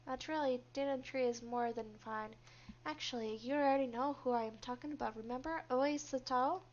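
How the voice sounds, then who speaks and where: A woman voicing a reserved, guarded young female office worker, narrating a visual novel in a subdued, measured way. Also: high voice, natural voice. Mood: reserved, polite. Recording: dull, lo-fi microphone.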